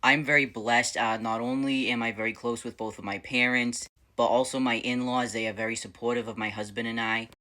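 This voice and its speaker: Bubbly bright-voiced man telling stories. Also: a little fast, hardly any pauses. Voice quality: fairly high voice. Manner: bubbly, animated storytelling. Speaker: vlogger answering viewer questions. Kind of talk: casual personal storytelling to camera.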